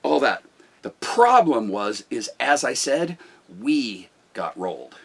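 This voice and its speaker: A middle-aged man with a loud voice, a business owner talking bluntly and forcefully about management in casual talk. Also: mid-range voice, very animated, slow pace, vocal fry, husky.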